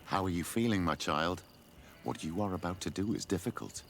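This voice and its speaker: A man's voice: low voice, fairly quick, fairly bright tone. An actor playing a solemn, concerned, fatherly older clergyman in a video game. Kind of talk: video game dialogue acting.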